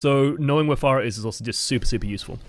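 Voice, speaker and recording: Casual young male coach, mid-pitch voice, unhurried, chatty, a bit sweary. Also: dry recording. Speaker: game coach reviewing footage. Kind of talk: casual gameplay commentary.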